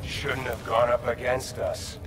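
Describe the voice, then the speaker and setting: An actor playing a cocky, sardonic masked mercenary in a video game, sneering and wisecracking. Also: male voice, mid-range voice, flat delivery, measured pace, noisy background, lightly acted. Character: cocky, sardonic male masked mercenary speedster, selfish thug. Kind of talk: video game fight dialogue.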